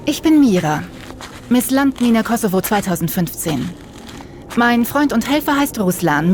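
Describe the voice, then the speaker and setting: An actress plays a young female guerrilla fighter with a mid-pitched voice. She is talkative, bossy and declamatory like a true believer, speaking in a radio play over clear background noise. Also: a little mellow, close to an everyday voice. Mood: breezy, friendly. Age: young adult. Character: Mira, young female urban guerrilla, bossy, fervent, chatty revolutionary. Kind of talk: German sci-fi radio drama dialogue.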